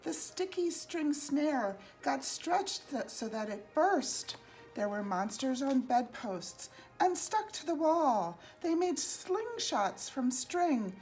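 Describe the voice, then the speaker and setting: A woman reading a rhyming children's picture book aloud in an expressive, singsong, deliberate way. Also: sits high, soft background music, poor audio. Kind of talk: read-aloud children's storytelling in rhyme.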